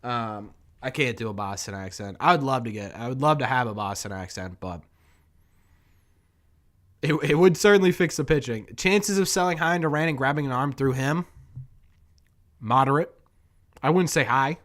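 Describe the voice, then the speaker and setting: Hoarse man with a New England accent. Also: medium pitch, animated, normal speed, a bit bright. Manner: rambling, chuckling, thinking aloud. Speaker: sports podcast host. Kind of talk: casual live-stream chat answering viewers.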